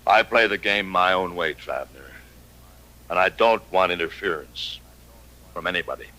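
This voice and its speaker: An actor playing a cold, self-assured gunfighter sheriff in a radio western, speaking tersely and deliberately with quiet menace. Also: male voice, low voice, poor audio. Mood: guarded, stern. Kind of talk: 1950s radio western acting.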